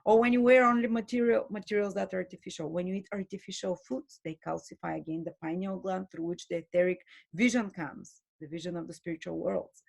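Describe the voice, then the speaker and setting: A woman giving an earnest spoken lecture as a spiritual teacher, pausing and restarting her phrases often. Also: on the low side, fairly even intonation, gravelly, a little mellow. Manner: earnest, with frequent restarts and hesitations.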